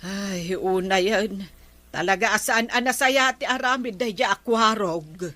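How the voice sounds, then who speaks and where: Bright, exasperated Ilocano aunt, acted by a woman. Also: mid-range voice, unhurried, no room sound. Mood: dismayed. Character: village captain's wife, an exasperated scolding aunt. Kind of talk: Ilocano comedy drama dialogue.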